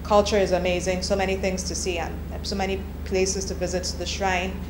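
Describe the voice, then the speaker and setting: A woman's voice: on the low side, little pitch movement, fairly quick. A woman in her thirties, from Nigeria, answering interview questions in a candid, chatty way with restarts. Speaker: copywriter, interviewee. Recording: echoey room with background noise.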